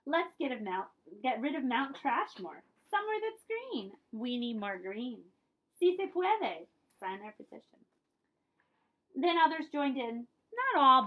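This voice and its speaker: A woman's voice: fairly high pitch, fairly bright tone, rough recording. A woman reading a children's picture book aloud as a librarian, with dramatic storytelling emphasis and friendly asides.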